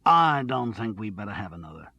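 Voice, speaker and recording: A man's voice: fairly low pitch, sing-song, fairly quick, sharp tone, dull audio, slight background noise, exaggerated voice. A man voicing a brash talent agent with an American accent in an audiobook. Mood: firm.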